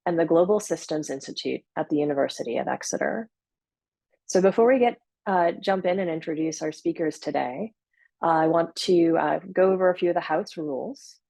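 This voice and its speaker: Measured woman hosting a webinar. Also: on the low side, a little mellow, no room sound. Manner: measured, with frequent uh fillers and self-corrections. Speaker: webinar host and moderator. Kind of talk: formal webinar introduction. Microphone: computer mic on a video call.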